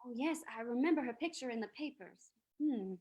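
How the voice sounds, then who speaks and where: A woman's voice: sits high, lively intonation, a little fast, highs cut off. A woman playing a wry, teasing society lady in an old-time radio reading.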